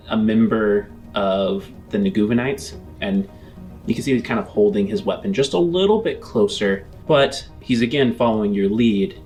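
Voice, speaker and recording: A man narrating a tabletop game as game master, in deliberate phrases with frequent pauses. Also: lowish voice, darkish tone. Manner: deliberate, pausing often between phrases.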